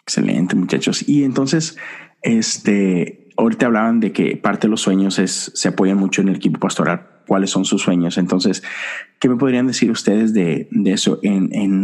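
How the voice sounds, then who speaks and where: A man's voice: lowish voice, darkish tone. A man hosting a casual conversation, asking questions and affirming answers. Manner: friendly questioner with many affirming murmurs. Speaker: interview host. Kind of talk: casual chat.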